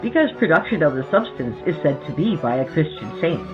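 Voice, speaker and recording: Lady podcast host reading a script, really lively intonation, bit of music behind. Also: low voice, fairly quick. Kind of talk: scripted narration on folklore. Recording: slightly dull audio with faint music. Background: faint music.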